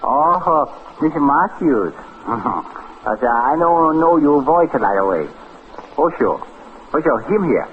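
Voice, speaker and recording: An actor playing a bossy, fussing house cook with a Chinese accent and clipped broken English, in a 1940s radio drama. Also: male voice, fairly high pitch, very animated, slow. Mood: polite, fussing. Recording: dull, noisy old radio recording.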